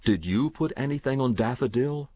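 A man's voice: medium pitch, animated, moderate pace, no echo, lo-fi sound, pitched above the performer's own voice, lightly acted. A man voicing an amused, indulgent uncle in a storybook reading, hearty, teasing and incredulous. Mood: probing, incredulous.

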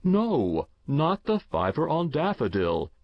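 A man's voice: a little high, wildly swooping pitch, slow pace, dry recording, muffled recording, voice pushed up, heavily acted. A man voicing an amused, indulgent uncle in a storybook reading, hearty, teasing and incredulous. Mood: jovial, indulgent.

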